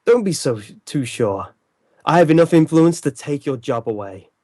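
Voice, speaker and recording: Commanding, mellow-voiced detective. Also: male voice, on the high side, animated, moderate pace, dry recording, studio quality. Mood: stern, accusing. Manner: authoritative, formal, theatrical. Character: commanding, incisive male detective, calm and stern. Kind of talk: old-time radio drama acting.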